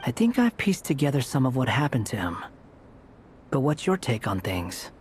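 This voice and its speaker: A man's voice: mid-range voice, sing-song, moderate pace, slight background noise, lightly acted. An actor playing an aloof, brooding young man in a video game, with a dry, sardonic manner. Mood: matter-of-fact.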